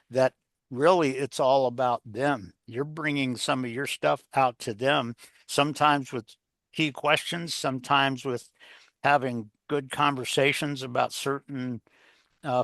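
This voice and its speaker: A man's voice: medium pitch, creaky, fairly bright tone, no echo. A man speaking as an interview guest and coach, explaining his views in an emphatic, rambling, folksy way with frequent repetition. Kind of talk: conversational explanation of leadership advice.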